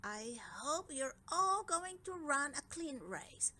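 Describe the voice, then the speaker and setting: Old dragon king for a storybook, acted by a woman: mild, fretful, ponderous, unhurried delivery. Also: sits high, sing-song, pushed and bright, pitched above the performer's own voice, heavily acted. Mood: worried, cautioning. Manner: pondering, hemming and humming. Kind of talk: children's storybook character voice.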